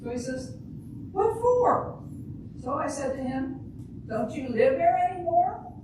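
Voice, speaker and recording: Very echoey, distant noisy recording, older woman playing naive housewife, higher-pitched, blunt, literal-minded, stage reading. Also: very animated, measured pace. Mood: earnest recounting. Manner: literal-minded, plain, ungrammatical phrasing. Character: naive, blunt housewife and mother, matter-of-fact about shooting husband. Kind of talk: amateur readers' theater.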